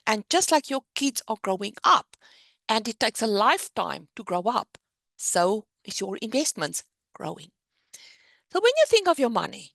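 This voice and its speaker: A middle-aged woman with a South African accent, teaching the basics of investing in an animated, explanatory way on a webinar. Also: medium pitch, gravelly, no room sound. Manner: animated, explanatory teaching with a lively sing-song rise and fall. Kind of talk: webinar presentation.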